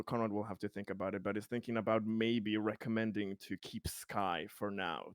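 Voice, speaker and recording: A man playing a tabletop roleplaying game, chatting casually in a halting, jokey way, often chuckling. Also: on the low side, no room sound, clean studio sound. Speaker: tabletop role-playing player.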